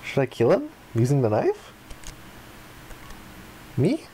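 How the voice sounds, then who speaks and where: A man's voice: mid-range voice, huge pitch swings, slow, warm tone, slight background noise, low-quality recording. A man reading visual novel narration and dialogue aloud, acting out the lines as he goes. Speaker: gaming video player reading aloud.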